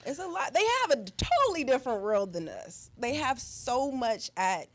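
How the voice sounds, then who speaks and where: A woman's voice: high-pitched, very animated, moderate pace. An earnest woman speaking as a community organizer in a live radio discussion, repeating phrases for emphasis. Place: radio studio.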